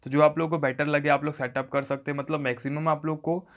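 A man's voice: fairly high pitch, level tone, brisk. A man giving an instructional phone tutorial voice-over, often repeating stock phrases. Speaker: tech tutorial host.

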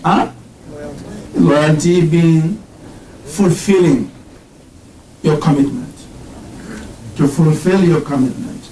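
A middle-aged man giving an interactive religious lesson, quizzing his class on terms, explaining them slowly and reciting Arabic verses. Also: a little high, dark tone, reverberant, distant mic, slight background noise, rough recording. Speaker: religious teacher. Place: indoor classroom with whiteboard.

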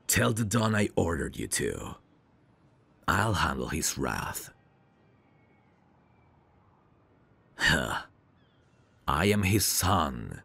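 A man playing a protective, self-assured, flirtatious mafia heir in an ASMR roleplay, commanding and smooth-talking. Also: sits low, very animated, slow pace, long pauses, bright, forceful tone, no echo, heavily acted. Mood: exasperated.

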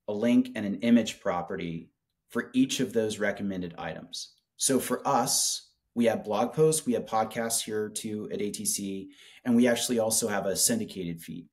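A man in his forties making a casual, enthusiastic product pitch in conversation, speaking colloquially on a video call. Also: darkish tone. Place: small office with whiteboard, indoors.

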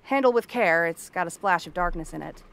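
A woman's voice: fairly low pitch, expressive, fairly quick, gravelly, a bit bright. A woman playing a cranky, tomboyish young frog-girl princess in a fantasy roleplay, sarcastic and haughty. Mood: brisk, dry. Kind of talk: scripted fantasy ASMR roleplay.

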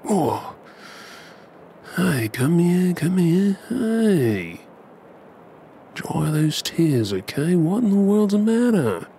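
An Australian man performing an ASMR bedtime roleplay as an affectionate, cheeky young husband and dad, coaxing and hushed. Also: high-pitched, big pitch swings, extremely slow, gravelly, long pauses, a little hiss or hum. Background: ambient sound effects.

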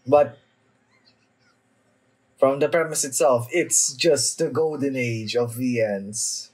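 A man's voice: mid-range voice, darkish tone, husky, rough recording. A young adult man who is a little under the weather, giving casual, hesitant reaction commentary as a video host. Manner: halting, hesitant delivery. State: somewhat sick with a cough. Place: small indoor room at home.

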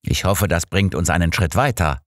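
A man's voice: low voice, expressive, normal speed, a bit bright, dry recording, clean studio sound. A man voicing an old master detective in an audiobook, composed, inquisitive and matter-of-fact. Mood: reflective, analytical.

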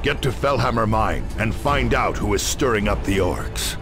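An actor playing a grave space marine officer, giving a formal, measured and authoritative military briefing. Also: male voice, fairly low pitch, expressive, over music. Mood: grave, commanding. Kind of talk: scripted military briefing narration.

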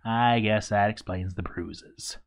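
A man's voice: fairly low pitch, takes it slowly. A man reading a scripted story as narrator, with a wry, sardonic, sweary comic delivery. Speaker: narrator reading a game playthrough log.

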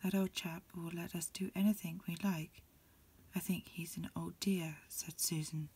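A woman whispers her way through a storybook in an ASMR style. Her voice is extremely breathy and on the low side. She reads softly and evenly in short, unhurried phrases. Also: fairly even intonation. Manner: soft, unhurried, phrase-by-phrase reading. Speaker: amateur reader. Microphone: lo-fi home recording.

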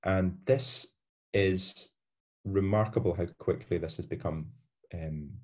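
A man's voice: low voice, slow pace, a little mellow. A young man with a Scottish accent giving an online university lecture over a webcam, hesitant with many fillers and restarts. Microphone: webcam on a video call.

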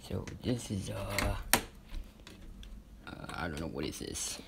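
Halting, low-pitched man with swooping intonation. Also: lively intonation, gravelly. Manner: hesitant, halting, unsure. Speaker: vlogger giving a house tour. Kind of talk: casual improvised home tour narration. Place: indoors, moving through rooms of a house. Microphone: handheld phone or camera mic. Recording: noisy handheld home video, clicks.

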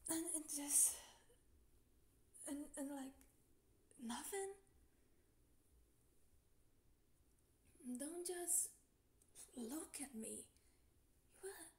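A woman playing a nervous, clingy young woman in an intimate ASMR roleplay, halting and flustered, in a breathy, often whispery voice. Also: sits high, lively intonation, mellow, heavily acted. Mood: flustered, anxious. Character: nervous, clingy young woman confessing love to her friend. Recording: faint background noise.

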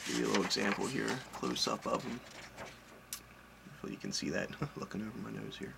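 Chatty low-voiced man in a home office. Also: fast talker, vocal fry, heavy background noise. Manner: chatty, rambling, self-correcting, offhand. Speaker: collector and online reseller. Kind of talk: casual vlog update. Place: home office full of collectibles, indoors. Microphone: webcam at desk, seated.

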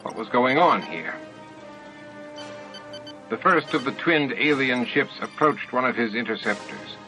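A man's voice: mid-range voice, animated, unhurried, pushed and bright. A man reading scripted fiction aloud as a dramatic audiobook narrator. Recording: dull lo-fi old audiobook tape. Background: music and added sound effects.

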